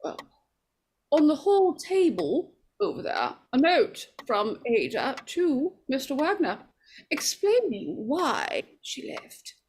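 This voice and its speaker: Woman acting scheming nervous lady, 1940s radio horror, slow halting stammer, lively pitch, noisy recording. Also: exaggerated voice. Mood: evasive, uneasy.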